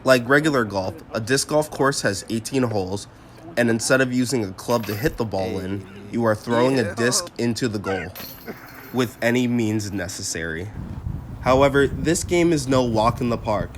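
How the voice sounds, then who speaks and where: A man's voice: mid-range voice, normal speed, crowd noise. A man reporting a student news package in an upbeat, persuasive voice, partly from an outdoor park. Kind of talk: news package narration and stand-up.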